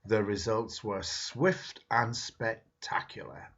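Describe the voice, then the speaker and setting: A man reading a book foreword aloud in a slow, emphatic, dramatic way. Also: on the low side, a bit bright, breathy edge. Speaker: reader. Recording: dull lo-fi recording.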